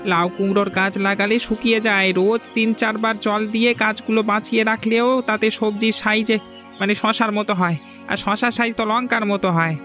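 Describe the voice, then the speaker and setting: A man's voice: high voice, fairly even intonation, a little fast, sharp tone, faint music behind. An actor playing a troubled but practical male villager in a fairy-tale cartoon, earnestly reasoning things out. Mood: worried.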